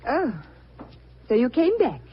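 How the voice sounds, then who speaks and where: A woman's voice: high voice, big pitch swings, a little slow. An actress playing a bold, self-assured, sly young lady sharpshooter in an old-time radio drama. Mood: confident, challenging. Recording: muffled, faintly noisy old radio recording.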